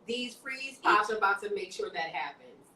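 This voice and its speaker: A woman's voice: medium pitch, lively intonation, moderate pace, pushed and bright, echoey room, recorded from a distance. A woman hosting a podcast in casual chat, playful and a little tipsy from wine, slightly slurring. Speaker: podcast host.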